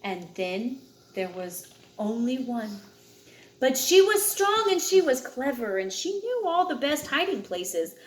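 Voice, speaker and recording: A woman reads a picture book aloud with dramatic emphasis on key words. Her voice sits fairly high and swings widely in pitch. The room adds a noticeable echo around her expressive storytelling. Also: a little slow, a little hiss or hum. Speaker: storyteller reading aloud to a child.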